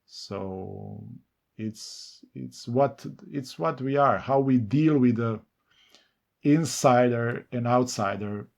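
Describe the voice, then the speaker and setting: A man with a Slovenian accent speaks at an unhurried pace, his voice dropping into a gravelly fry. He answers interview questions as a novelist, halting often and restarting his sentences with plenty of fillers. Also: medium pitch, darkish tone. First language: Serbo-Croatian. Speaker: novelist guest.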